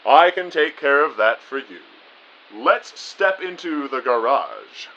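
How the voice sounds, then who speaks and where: A man's voice: mid-range voice, sing-song, slow pace, pushed and bright, highs cut off, exaggerated voice. A man playing a genteel, encouraging spy agency boss in an audio drama, with formal and reassuring wording.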